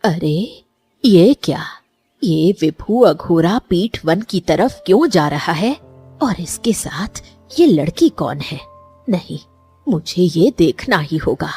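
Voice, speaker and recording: Woman voicing a suspicious, husky old village woman. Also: lowish voice, expressive, takes it slowly, mellow, faint music behind, full character voice. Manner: dramatic muttering questions to herself.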